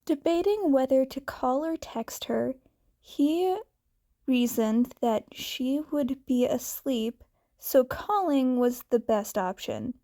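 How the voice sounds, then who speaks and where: Slow-reading woman narrator, higher voice. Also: mellow. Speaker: fan fiction narrator. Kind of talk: scripted story narration.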